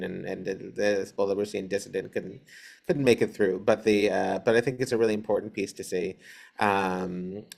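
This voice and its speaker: A man speaking as an interview guest, explaining casually and haltingly, with many pauses. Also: lowish voice, gravelly. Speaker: theater director, interview guest.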